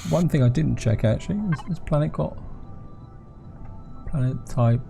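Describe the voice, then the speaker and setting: A man with a sore throat and a croaky voice, casually commenting on his own gameplay and chatting to viewers. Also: medium pitch, moderate pace, mellow. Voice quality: croaky, gravelly voice. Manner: chatty, addresses viewers as guys. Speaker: gameplay video host. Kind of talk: casual let's-play commentary. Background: game music.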